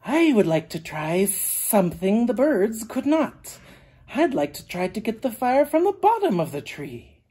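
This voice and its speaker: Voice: mid-range voice, sing-song, measured pace, dark tone, husky, pitched above the performer's own voice. A storyteller voicing a bold, confident animal volunteer from a children's storybook in a proud, eager, declamatory way. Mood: earnest.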